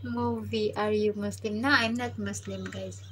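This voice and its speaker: A Filipino woman with a Filipino accent hosts a live stream, talking in an unhurried, drawn-out way. Her voice sits at an ordinary middle pitch, and she chats playfully, slipping Tagalog into her English. A faint hiss of noise runs underneath. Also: steady tone, fairly bright tone. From Philippines. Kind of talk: casual live-stream chat. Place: abroad, working in the Gulf.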